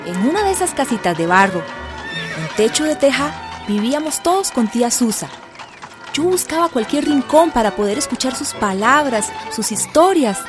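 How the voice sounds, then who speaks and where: A woman's voice: sits high, very animated, normal speed. A woman narrating a radio drama as a grown villager fondly remembering childhood, tender and reflective. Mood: nostalgic, tender. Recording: music behind the voice.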